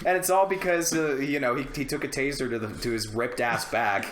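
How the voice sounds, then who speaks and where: A man's voice: medium pitch, fairly quick, fairly bright tone, slight background noise. A man chatting casually on a podcast, joking and rambling with plenty of swearing. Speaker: podcast host discussing films. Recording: roomy, echoey room.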